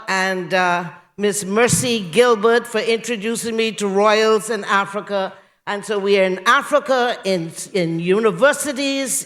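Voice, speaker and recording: A man giving an award acceptance speech in a slow, emphatic, rousing way. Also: sits high, pushed and bright. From Bahamas. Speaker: award recipient, media entrepreneur.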